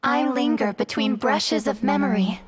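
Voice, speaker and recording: A woman playing a gentle, wistful female teacher and artist near the end of her life in a game drama, speaking tenderly. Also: fairly high pitch, lightly acted. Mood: wistful, poetic. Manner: tender, measured, breathy in places. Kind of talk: anime game voice acting. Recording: loud music behind. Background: music.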